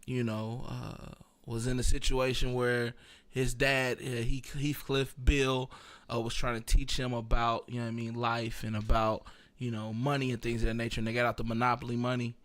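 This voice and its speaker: A man hosting a casual podcast, chatting and telling stories in a slangy, animated way. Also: medium pitch, moderate pace. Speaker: podcast host; teacher. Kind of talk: casual podcast chat and storytelling.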